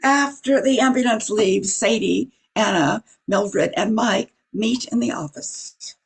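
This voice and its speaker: A narrator with a gravelly, husky voice reading a scripted radio drama. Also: mid-range voice, takes it slowly.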